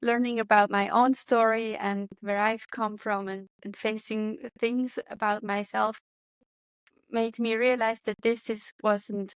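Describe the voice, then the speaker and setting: A woman with a Swiss accent speaks in a somewhat high voice, earnest and searching, often restarting her sentences. She is a guest in a casual interview. The sound is dry and close. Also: flat delivery, unhurried, clean tone, no echo. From Switzerland. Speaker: guest, medium and coach.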